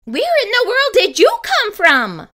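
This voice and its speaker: An elderly storybook lady, acted out by a woman reading aloud, kindly and easily excited. Her voice is shrilly high and bright. She exclaims and squeals with wildly varied pitch. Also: measured pace, heavily acted. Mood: flustered scolding. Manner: squealing, exclamatory, scolding or cooing.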